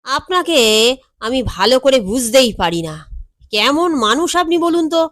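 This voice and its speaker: An excitable male underling in a storybook reading, acted out by a woman. The voice sits raised, full of deferential questions and nervous exclamations, timid yet very nosy. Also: high voice, moderate pace, no echo, voice pushed up. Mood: curious.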